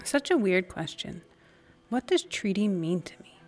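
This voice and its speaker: A woman's voice: medium pitch, sing-song, a little slow. A woman playing a candid, indignant friend in a naturalistic audio drama conversation. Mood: questioning. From Canada, Saskatchewan.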